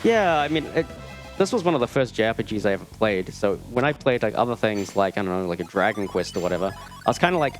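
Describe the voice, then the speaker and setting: A man's voice: lowish voice, animated, fast. A man giving casual live commentary while playing a video game, with wry asides and broken-off sentences. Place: gaming marathon event, streamed. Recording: game music behind the voice. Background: video game music.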